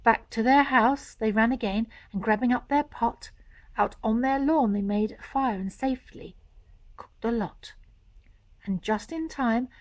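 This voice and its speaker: High-voiced woman storyteller. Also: dry recording. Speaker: author reading own story. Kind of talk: children's storybook narration in rhyme.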